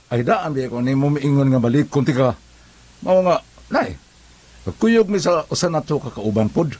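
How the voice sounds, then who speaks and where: Excitable, high-voiced old classmate. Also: male voice, normal speed, husky. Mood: calling out. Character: excitable, peacemaking male former classmate. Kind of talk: Cebuano comedy radio drama acting.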